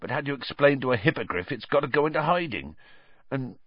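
A man's voice: medium pitch, lively intonation, fast, slightly rough, no echo, voice pushed up. A man doing a sobbing giant gamekeeper. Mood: gloomy. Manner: sobbing, broken rural dialect. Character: huge grieving male gamekeeper, tearful and gruff. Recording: dull lo-fi audio.

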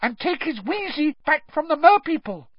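A tiny male house-elf servant, squeaking very high and swooping eagerly. He is urgent, earnest and humbly deferential, like a character in a storybook reading. The sound is a little dull. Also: very animated, moderate pace, dry recording. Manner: speaks of himself in third person, deferential, broken grammar. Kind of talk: audiobook character voice.

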